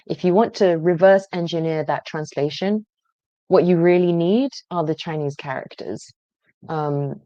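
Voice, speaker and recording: A woman with a mellow, rather low voice walks viewers through a research database, talking in a relaxed, explanatory way with many small pauses. The sound is dry and a little dull, like a close computer mic. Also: dry recording. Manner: explanatory, conversational, frequent "um" pauses. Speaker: genealogy presenter. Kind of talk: screen-share database walkthrough.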